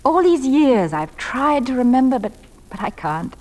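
A woman playing a wistful, loving middle-aged mother with a refined, gentle voice in 1940s radio drama style. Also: high voice, very animated, moderate pace. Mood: tender, wistful. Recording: vintage 1940s radio broadcast, music behind.

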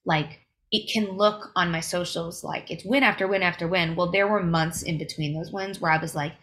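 A woman's voice: fairly low pitch, steady tone, normal speed, a bit bright, a little hiss or hum. A woman singer as a podcast guest, talking in emphatic bursts full of fillers and restarts. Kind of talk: casual interview chat.

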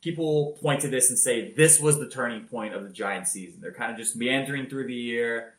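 A man telling football highlights in a casual podcast chat, energetic and loud-voiced. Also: fairly quick, echoey room, low-quality recording. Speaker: podcast co-host. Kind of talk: casual sports recap.

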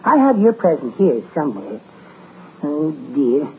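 An actor playing a meek, fussy, soft-spoken male neighbor in 1940s radio comedy. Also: a little high, sing-song, a little slow, gravelly, heavily acted. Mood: mild. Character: meek, mild-mannered bird-loving adult male neighbor. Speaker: visiting neighbor. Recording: tinny old radio broadcast with faint hiss.

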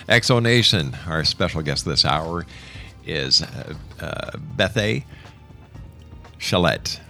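Male radio interview host, very low voice, measured announcer delivery, faint music behind. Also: sharp tone.

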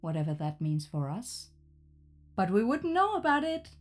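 An actress voicing a matter-of-fact, precise artificial intelligence with a detached manner in a science-fiction audio drama. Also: female voice, mid-range voice, very animated, normal speed, soft background music, natural voice.

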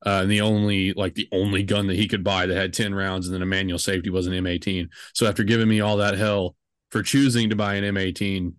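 A man's voice: deep voice, flat delivery, quick pace, dry recording, studio quality. A man in his twenties hosting a podcast, chatting casually and rambling with lots of fillers and jokes. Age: 20s. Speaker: podcast host.

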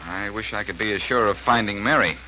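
An actor playing a brisk, determined young detective hero in 1940s radio style. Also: male voice, on the low side, lightly acted. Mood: earnest, puzzled. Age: young adult. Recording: muffled, noisy old radio recording.

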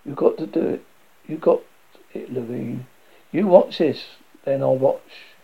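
Man acting a husky, frightened bookish young man. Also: medium pitch, measured pace. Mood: weary, urgent. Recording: dull lo-fi recording.

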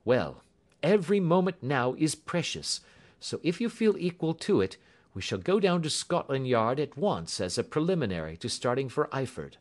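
A man playing a calm, dryly superior detective in an audiobook, speaking with deliberate assurance. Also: medium pitch, pitched above the performer's own voice, close to an everyday voice. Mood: decisive. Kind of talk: audiobook character acting.

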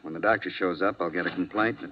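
A man playing a matter-of-fact police sergeant in a 1950s radio drama, brisk and businesslike. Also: low voice, a little hiss or hum, lightly acted. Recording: muffled old 1950s radio recording.

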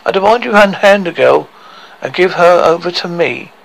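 A man's voice: high-pitched, expressive, moderate pace, vocal fry, a bit bright, pitched above the performer's own voice, full character voice, poor audio. A man voicing a conniving, pompous king in a storybook, smug and dismissive with false friendliness.

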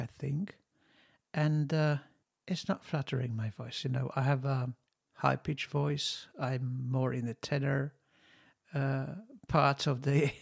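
Slow, gravelly-voiced man on a podcast mic. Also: mid-range voice. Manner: slow, halting, many fillers, non-native phrasing. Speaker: podcast host testing microphones. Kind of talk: casual spoken talk. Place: home studio desk. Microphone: dynamic studio microphone, close.

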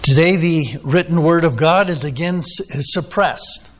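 A man's voice: on the high side, normal speed. A man preaching a sermon, teaching off the cuff with many "uh"s and questions to the listeners. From United States. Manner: unscripted teaching with frequent fillers, asks the listeners questions. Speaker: pastor.

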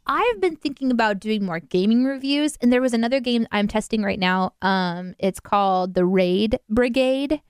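A woman's voice: on the high side, lively intonation, moderate pace, few pauses, clear, resonant tone, dry recording, clean studio sound. A woman co-hosting a live stream in casual, giggly chat. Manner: chatty, giggly.

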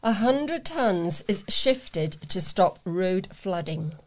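A woman's voice: on the low side, expressive, takes it slowly. A British woman reading local news aloud as a volunteer newsreader.

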